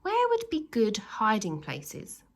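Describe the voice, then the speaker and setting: A woman's voice: fairly high pitch, huge pitch swings, slow, heavily acted. Woman voicing a curious, muttering young boy. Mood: pondering. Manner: hushed, musing mutter.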